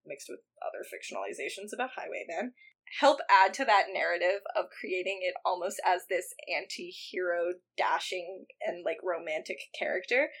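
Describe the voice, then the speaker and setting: A woman's voice: on the low side, moderate pace, slightly rough. A young woman co-hosting a podcast in casual chat, laughing often and filling her talk with "like". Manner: giggly, lots of fillers. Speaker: podcast co-host.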